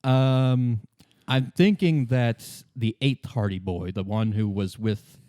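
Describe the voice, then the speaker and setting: A man playing a tired, dry police detective in a tabletop game, speaking in a halting, deadpan way. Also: mid-range voice, dry recording, lightly acted. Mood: weary, matter-of-fact.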